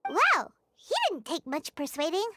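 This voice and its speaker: A woman voicing a small, excitable, cheeky fairy companion who talks in exclamations in an anime game. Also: very high-pitched, measured pace, background noise, heavily acted. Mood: baffled, exasperated. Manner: speaks of self in third person, exclamatory.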